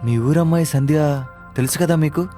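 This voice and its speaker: A man playing an earnest, lovesick young brother-in-law in an animated family story, with music behind. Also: fairly high pitch, moderate pace, natural voice. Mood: eager.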